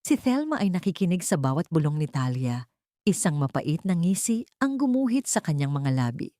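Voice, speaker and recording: A woman's voice: fairly low pitch, sing-song, moderate pace, mellow, no room sound, studio quality. A woman reading a dramatic scripted story as its narrator.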